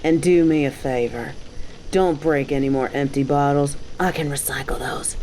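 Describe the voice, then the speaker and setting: A woman's voice: low-pitched, fairly even intonation, normal speed, a little mellow, slight background noise, close to an everyday voice, low-quality recording. A woman playing a cocky, dominant bounty hunter in an audio roleplay, with a mocking, taunting, slangy delivery. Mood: scornful, lecturing. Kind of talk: scripted roleplay audio drama.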